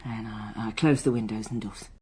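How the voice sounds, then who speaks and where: An actress in a radio drama playing a strained, defensive schoolteacher daughter being questioned by police, halting and bristling with emotion. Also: female voice, rumbling low, fairly even intonation, dark tone, exaggerated voice. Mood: subdued. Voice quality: husky. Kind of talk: naturalistic radio drama dialogue.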